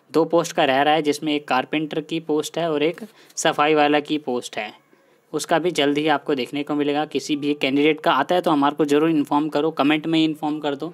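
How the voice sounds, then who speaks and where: A man hosts an informal online update. He addresses viewers directly, goes over points repeatedly and drops English words into his sentences. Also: a little high. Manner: repetitive, mixes English words into his talk. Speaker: host of an exam news channel.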